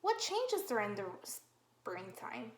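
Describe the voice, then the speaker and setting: A woman teacher reading a picture book aloud to young children, slow and encouraging, with drawn-out questions to the class. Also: fairly high pitch, very animated, noisy background. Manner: slow, encouraging, exaggerated sing-song questioning. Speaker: kindergarten teacher. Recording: roomy echo.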